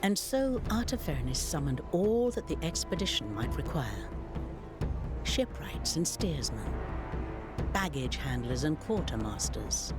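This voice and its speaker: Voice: medium pitch, big pitch swings, measured pace, loud music behind the voice. A narrator delivering scripted dramatic history for a strategy game in a formal storytelling manner.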